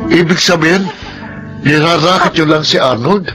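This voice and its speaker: A man's voice: on the high side, normal speed, over music, natural voice. An actor playing an indignant, loyal friend who angrily accuses a deceitful woman, in a radio drama. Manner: angry, accusing.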